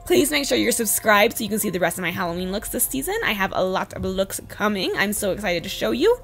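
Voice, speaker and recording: A woman in her twenties with a slightly hoarse voice, giving a casual, chatty makeup tutorial voice-over. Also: mid-range voice, sing-song, fast talker, fairly bright tone. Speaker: beauty vlogger, host. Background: background music.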